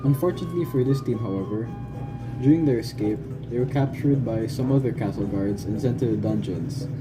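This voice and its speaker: Male narrator, medium pitch, scripted storytelling with a slightly stumbling read, set over prominent music. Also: low-quality recording. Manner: stumbling read-aloud delivery.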